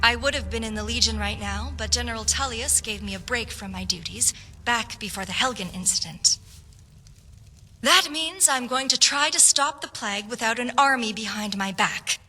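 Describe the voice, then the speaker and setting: Woman voicing young patriotic noblewoman, fantasy game, higher voice, formal, earnest, persuasive, music behind. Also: moderate pace, pushed and bright. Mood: earnest, resolute. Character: earnest young noble lady, patriotic Imperial legion officer. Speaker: voice actor in a fantasy game quest mod. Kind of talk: scripted fantasy game dialogue. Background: background music.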